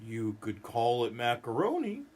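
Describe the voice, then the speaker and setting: A man voicing a patient pony who gently coaxes and suggests things in a storybook read-aloud. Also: medium pitch, huge pitch swings, measured pace, slight background noise, pitched below the performer's own voice. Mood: patient coaxing. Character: mild, coaxing pony who turns melodramatically wounded.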